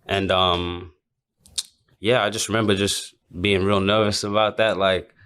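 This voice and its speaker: A man's voice: low-pitched, little pitch movement, a little slow, fairly bright tone, clean tone. A young man from South Sudan and Sydney chatting casually and reflectively as a guest in an interview. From South Sudan and Sydney, Australia. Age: young adult. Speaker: professional basketball player, interview guest.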